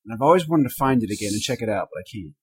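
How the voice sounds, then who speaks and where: A man co-hosting a podcast in casual chat, animated and jumping between loud and quiet. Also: fairly low pitch, very fast, slightly rough. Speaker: podcast co-host.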